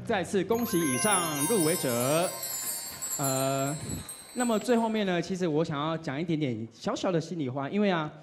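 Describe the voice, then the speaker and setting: An excitable Taiwanese man co-hosts a live awards show. His voice sits high and jumps around in pitch. He banters playfully between formal announcements. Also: normal speed, faint music behind. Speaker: awards presenter and radio host. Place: awards ceremony stage.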